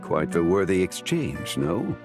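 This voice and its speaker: Erudite courteous gentleman, deep voice, measured formal phrasing, expressive melody, video game dialogue. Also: heavily acted. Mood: composed, courteous. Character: composed, erudite, courteous male antiques connoisseur. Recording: music behind the voice.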